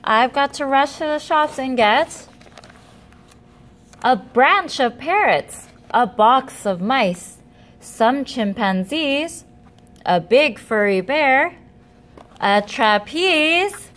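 A woman reading a picture book as an eager, hurried little boy reciting his errand list urgently. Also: sits high, animated, a bit bright, heavily acted. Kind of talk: children's picture book read-aloud.

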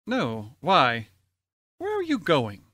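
High-pitched exasperated chairman played by a man. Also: huge pitch swings, slow pace, sharp tone, no room sound, studio quality, full character voice. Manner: impatient, brusque. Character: exasperated, tight-fisted college board chairman. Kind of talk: old-time radio comedy acting.

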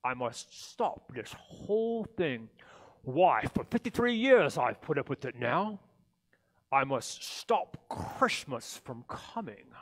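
A man's voice: mid-range voice, very animated, takes it slowly, full character voice. A man voicing a sour, scheming creature who hates Christmas, snarling and emphatic as in a storybook reading. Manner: snarling, growling, emphatic repetition. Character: sour, hateful, scheming grumpy male Christmas-hating creature.

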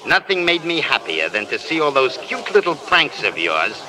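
An actor playing a tough, bitter, sarcastic Hollywood press agent in the style of 1930s radio drama. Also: male voice, medium pitch, sing-song, normal speed, natural voice, rough recording. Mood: contemptuous. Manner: sarcastic, wisecracking, contemptuous. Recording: old radio broadcast, crowd chatter behind.

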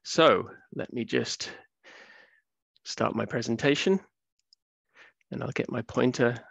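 A man from Wales in his thirties giving a relaxed science talk on a video call, with many fillers. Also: medium pitch, takes it slowly, vocal fry, breathy edge, dry recording. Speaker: research fellow giving a public talk. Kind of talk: academic webinar lecture. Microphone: computer mic on video call. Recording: dull, dry webinar audio.

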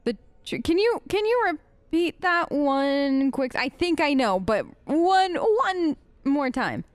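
A woman with a high voice chats casually as she plays a game. She takes her time, talks playfully to the characters, and is recorded close and dry. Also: very animated, slow pace, no echo. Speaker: let's play streamer. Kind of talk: casual gameplay commentary, chatting to characters. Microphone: close, dry mic.

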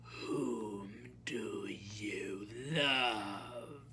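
Storybook witch, played by a female reader: old, cold, miserly, very slow whispery drawl, low pitch. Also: pushed and bright, full character voice. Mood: cold, indifferent. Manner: slow, drawn-out, hissing and deliberate.

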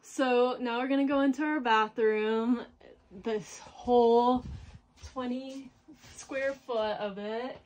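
A woman's voice: on the high side, slow pace. Chatty young woman touring her tiny house. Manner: relaxed, chatty, with fillers and small laughs. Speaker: tiny house owner giving a tour. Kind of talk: casual home tour. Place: small wooden tiny house, indoors.

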